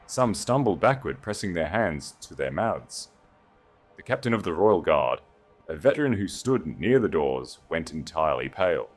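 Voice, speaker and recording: Very deep male storyteller, dramatic narration. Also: very animated, normal speed, soft background music. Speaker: audiobook narrator. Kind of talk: dramatic scripted narration of a romantic fantasy tale.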